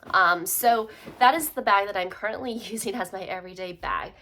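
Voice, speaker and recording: A woman's voice: mid-range voice, a little fast, slight background noise. A young adult woman who sounds congested, chatting casually through a bag review vlog at home, with lots of fillers. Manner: relaxed, chatty, many fillers. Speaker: YouTube vlogger.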